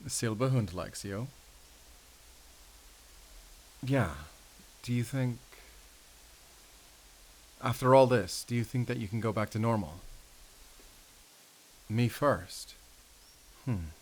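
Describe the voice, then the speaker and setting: A man playing a battle-worn, calm and wry werewolf hunter in an audio roleplay, speaking deliberately in short, paused phrases with quiet tenderness. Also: mid-range voice, a little hiss or hum, poor audio. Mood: pensive, tender. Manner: measured, deliberate, pausing between short phrases. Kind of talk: cinematic audio roleplay acting.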